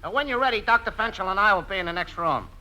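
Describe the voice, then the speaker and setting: A man's voice: high-pitched, animated, fast talker, bright, forceful tone, exaggerated voice. A man playing an offbeat, casual doctor's associate in 1950s radio comedy, hesitant and prone to "uh" and "yeah". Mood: businesslike. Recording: faintly noisy 1950s radio recording.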